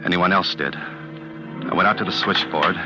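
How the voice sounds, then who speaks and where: Very deep-voiced, uneasy young clerk. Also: male voice, unhurried, music in the background. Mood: uneasy, reflective. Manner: hesitant, plain-spoken, deferential. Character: earnest, uneasy young male office clerk narrating his trouble. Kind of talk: 1940s radio drama narration and dialogue. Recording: dull old radio recording.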